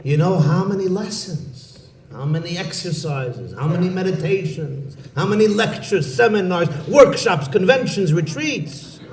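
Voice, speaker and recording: A man doing a sly calm woodchopper. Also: high-pitched, measured pace, few pauses, voice pushed up. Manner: easygoing, reassuring, wry. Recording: echoey room, mic far off, faint background noise.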